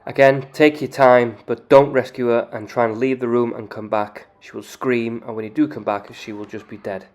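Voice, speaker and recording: A man's voice: medium pitch, level tone, normal speed, hardly any pauses, slight background noise. A man hosting a video game channel, giving plain, instructional walkthrough narration.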